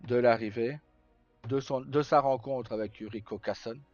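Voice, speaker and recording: A man live streaming, casually telling roleplay stories in a rambling, halting way with pauses mid-sentence. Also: mid-range voice, darkish tone. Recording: slightly muffled, roomy, faint music. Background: background music.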